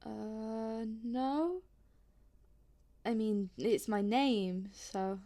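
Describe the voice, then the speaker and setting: A woman plays a polite but sceptical female visitor in an audio drama. Her voice sits fairly high, and she talks in a hesitant, rambling, slightly awkward way. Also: extremely slow, dark tone. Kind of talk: audio drama acting, naturalistic.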